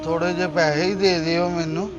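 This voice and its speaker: High-pitched pleading addict begging , acted by a man. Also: level tone, a little fast, highs cut off, music in the background, lightly acted. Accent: Pakistani Punjabi. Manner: pleading, insistent. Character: desperate pleading drug addict begging money for sick mother. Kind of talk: amateur moral-story drama acting.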